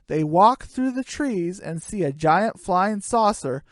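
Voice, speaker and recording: A storyteller narrating a homemade radio play. The pitch moves around a lot, and the recording is dry with very little room sound. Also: lively intonation, slow pace, dry recording.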